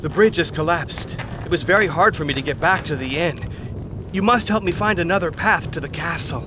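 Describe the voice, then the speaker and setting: An actor voicing a determined man set on reaching a castle in a game cutscene, earnest and resolute, over loud game music. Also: on the high side, a little fast, background noise, close to an everyday voice. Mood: urgent.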